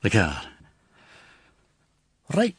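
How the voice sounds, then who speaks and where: An actor playing a gentlemanly, mild detective's colleague with a polite, hesitant manner, in a radio drama. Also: male voice, drawn-out words, no echo, studio quality. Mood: awkward, hopeful. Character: gentlemanly, diffident male colleague of a lady detective.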